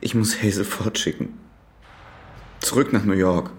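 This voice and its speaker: An actor playing a weary, bitter prisoner in a radio drama. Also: male voice, on the low side, fairly even intonation, unhurried, close to an everyday voice. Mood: weary, defensive. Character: imprisoned man, weary and bitter. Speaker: prisoner Carter.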